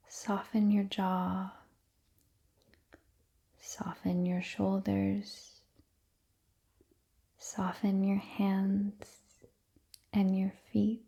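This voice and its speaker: A woman leading a guided meditation in a soft, breathy near-whisper, gently and soothingly, with long unhurried pauses. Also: mid-range voice, completely flat delivery.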